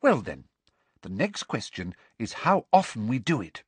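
A man voicing an earnest, bossy teenage girl student who talks briskly and a little impatiently. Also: medium pitch, huge pitch swings, darkish tone, breathy edge, dry recording, voice pushed up, full character voice.

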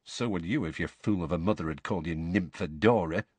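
A man playing a young storybook witch, breezy and frank. The pitch lifts and bounces as the character chats with open curiosity. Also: huge pitch swings, normal speed, fairly bright tone, breathy edge, no echo, heavily acted. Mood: chatty, curious. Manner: chatty, conversational.

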